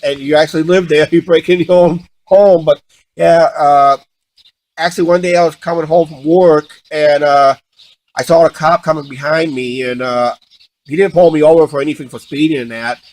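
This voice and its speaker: A man telling stories casually and unscripted, halting and often repeating himself as he restarts sentences. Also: on the high side, a little mellow. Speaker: vlog co-host.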